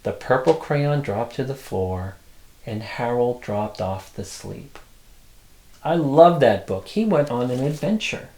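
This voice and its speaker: A middle-aged man reads a picture book aloud at an unhurried pace, with a very lively, swooping delivery. He drops in small asides full of childlike wonder. The sound is roomy, with noticeable echo. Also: medium pitch. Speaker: storyteller, host.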